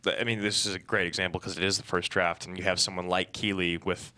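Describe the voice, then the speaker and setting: Male panelist, very low but forceful, bright voice, conversational delivery. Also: little pitch movement, fast. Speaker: actor on a panel. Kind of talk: casual panel discussion.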